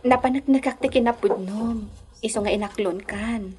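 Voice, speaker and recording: High-voiced young Ilocano daughter in love. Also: female voice, moderate pace, dark tone, husky. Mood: shy, affectionate. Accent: Filipino, Ilocano. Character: devoted young daughter in love, nineteen, respectful. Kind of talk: Ilocano radio drama acting.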